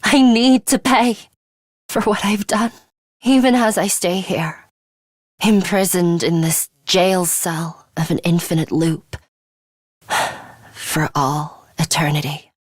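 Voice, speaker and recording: An actress playing a proud, imperious young woman in a dramatic video game, haughty and deliberate, with a fatalistic streak and a hidden caring side. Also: lowish voice, dry recording, studio quality. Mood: grim resolve. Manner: haughty, deliberate, breathy delivery with hushed drops.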